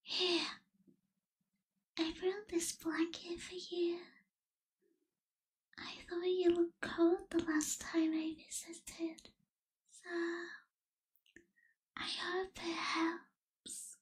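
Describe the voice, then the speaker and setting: A gentle young female vampire, acted by a woman for an ASMR roleplay, with an extremely high, girlish voice that stays soft and breathy. She speaks very slowly and tenderly, slipping toward a whisper, with little rise or fall in her tone. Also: little pitch movement, exaggerated voice. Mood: tender, concerned. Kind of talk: ASMR roleplay, intimate scripted acting.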